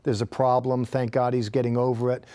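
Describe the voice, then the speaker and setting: A man's voice: medium pitch, level tone, a little fast, warm tone. Plainspoken man co-host. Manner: plainspoken, candid. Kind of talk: casual chat.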